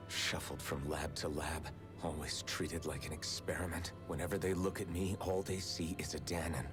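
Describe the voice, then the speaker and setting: A deep-voiced young man, bitter and guarded, played by a male actor in a video game cutscene. He talks tersely, with brooding resentment. Music plays clearly behind him. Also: natural voice.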